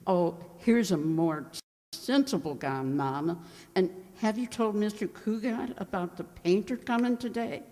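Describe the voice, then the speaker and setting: A woman's voice: mid-range voice, unhurried, dark tone, close to an everyday voice. A woman playing a blunt, wisecracking household maid, deferential yet drily teasing, in an old-time radio sitcom. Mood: helpful, wry. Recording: echoey hall, long reverb.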